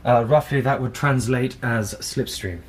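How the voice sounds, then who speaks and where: A man's voice: medium pitch, a little slow, a little hiss or hum, natural voice. Man playing a nervous British junior officer. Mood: uneasy. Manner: hesitant, apologetic. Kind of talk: radio drama acting.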